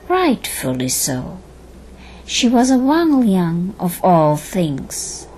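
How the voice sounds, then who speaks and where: A woman narrates an audio drama very slowly, with a measured, dramatic delivery. Her pitch is medium, and there is faint noise and ambience behind her. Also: a little mellow, rough recording. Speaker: storyteller and narrator.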